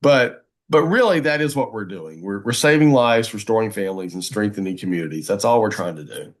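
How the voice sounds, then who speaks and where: A man's voice: fairly low pitch, lively intonation, a little fast. A man giving a motivational advocacy talk, emphatic and folksy, often repeating phrases for weight. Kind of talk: motivational presentation to a group.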